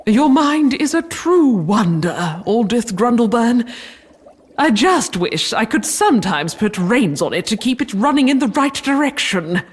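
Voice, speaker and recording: A woman playing a brisk, exasperated medieval queen in a comic audio drama, stern and articulate. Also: fairly high pitch, very animated, husky, heavily acted. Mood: weary exasperation.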